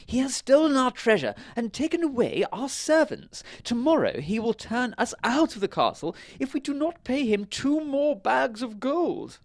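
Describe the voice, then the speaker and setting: High-pitched storybook king. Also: very animated, moderate pace, creaky, few pauses, no room sound, heavily acted. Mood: distressed. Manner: high, strained, loud character voice. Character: elderly-sounding king, anxious and plaintive, storybook.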